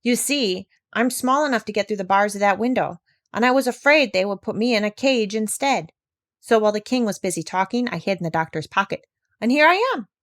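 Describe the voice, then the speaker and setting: A woman's voice: medium pitch, a little fast, a bit bright, no echo, studio quality, close to an everyday voice. A woman voicing a clever, proud female parrot from a storybook, self-assured and bossy. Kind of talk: storybook read-aloud character voice.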